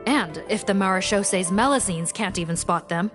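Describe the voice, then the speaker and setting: A professional woman officer, played by an actress talks formally and with measured duty in a mid-range voice. It is game dialogue over music. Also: mid-range voice, natural voice. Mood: resigned. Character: dutiful, professional adult female officer. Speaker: law enforcement officer. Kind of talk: video game voice acting. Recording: music behind. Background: background music.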